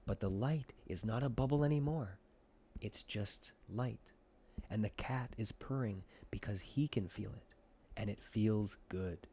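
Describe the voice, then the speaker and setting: A man voicing a gentle, soothing ice cream lady. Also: on the low side, measured pace, slightly rough. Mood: gentle wonder. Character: kindly, reassuring, mysterious ice cream lady. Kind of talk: children's audiobook character voice.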